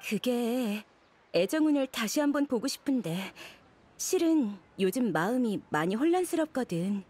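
A woman's voice: on the high side, expressive, moderate pace, husky. A woman playing a troubled, considerate young woman in video game dialogue, with a polite manner. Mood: uneasy. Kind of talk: Korean video game voice acting. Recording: loud music under the voice. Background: music.

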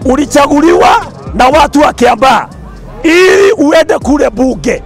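A Kenyan man speaking as a spokesman at an outdoor street protest, forceful and shouting at times into a handheld mic. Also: extremely high pitch, lively intonation, normal speed, soft background music, some chatter behind. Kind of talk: impassioned street speech. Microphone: handheld mic held up to him.

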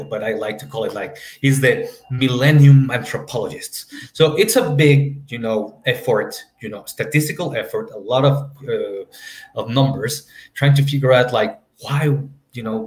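Man in his 30s, English, rambling with fillers, mid pitch, echoey home room on a webcam. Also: lively intonation, normal speed. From Mexico. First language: Spanish. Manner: meandering, filler-heavy, leans on "you know". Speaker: panel moderator. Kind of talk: academic panel discussion. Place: home room on a video call. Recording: roomy echoey video call audio.

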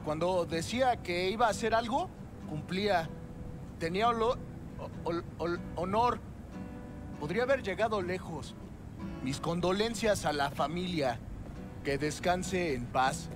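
Game role: earnest mourner reading condolences, high register, formal and stumbling, solemn tone, guitar music underneath. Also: male voice, lively intonation, normal speed, long pauses. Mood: solemn, nervous. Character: respectful male mourner reading out a condolence message. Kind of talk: cinematic video game acting. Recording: music behind the voice.

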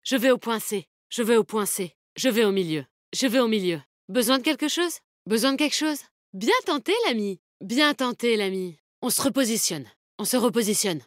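A woman's voice: on the high side, lively intonation, moderate pace, no room sound, studio quality, lightly acted. An actress playing a tough, confident, protective woman fighter in a video game, with a bold, cheeky, commanding manner. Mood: brisk, alert. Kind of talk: video game character voice lines, combat callouts.

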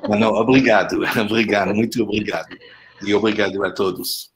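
An older man with a French accent, a visiting professor wrapping up an online lecture on a video call from home, speaking haltingly with many fillers and tag questions. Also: lowish voice, steady tone, over a noisy crowd, rough recording. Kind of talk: closing remarks of an online lecture. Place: home room indoors. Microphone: laptop webcam on a video call.

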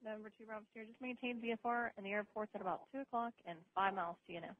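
Woman, air traffic controller, rapid procedural instructions, informal asides, thin band-limited radio sound. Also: mid-range voice, narrow, tinny audio. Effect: aviation radio.

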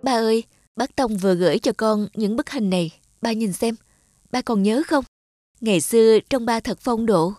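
A woman's voice: a little high, normal speed, mellow, dry recording, lightly acted. A woman playing an earnest, tender-hearted daughter in a cartoon drama, speaking with pleading emotion. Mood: tender hopeful. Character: earnest, tender-hearted daughter longing for her lost father.

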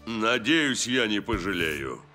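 Cartoon coach, middle-aged, stern and curt, forceful mid-pitched voice, lively emphasis, faint music. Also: male voice, takes it slowly, exaggerated voice. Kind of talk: animated cartoon dubbing.

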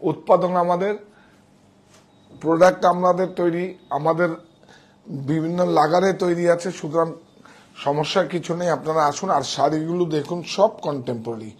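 A husky man presents goods in a shop. His pitch sits a little high for a man. He talks casually and emphatically, repeating key phrases as he sells. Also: moderate pace, pauses often. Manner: emphatic, repetitive. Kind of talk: casual sales demonstration. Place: indoor saree shop showroom. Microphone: wireless clip-on mic on chest.

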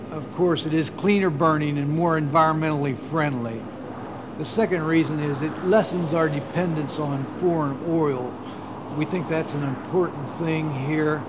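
A middle-aged farmer from Ohio talks in a measured, earnest interview, his voice sitting a little high for a man. Clear music plays underneath him. He speaks deliberately, weighing each point. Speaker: farmer and biodiesel producer. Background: background music.

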